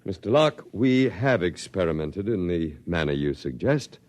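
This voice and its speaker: An actor playing an elderly scientist who runs a secretive project, formal, precise and unruffled, in 1950s radio drama style. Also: male voice, low voice, sing-song, normal speed, darkish tone, no room sound. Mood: gravely reasonable.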